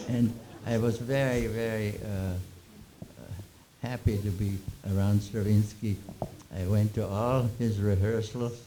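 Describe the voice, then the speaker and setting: A man in his nineties, born in Austria with German as his first language, telling old stories in a halting, chuckling way with many restarts. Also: on the low side, fairly even intonation, warm tone, slight background noise, rough recording. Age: 90s. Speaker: interviewee. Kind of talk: reminiscing Q&A.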